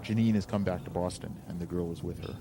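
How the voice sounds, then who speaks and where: A man voicing a grave gang boss in his seventies from a story, with formal, measured phrasing. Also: low-pitched, fairly even intonation, noisy background. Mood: grave, warning.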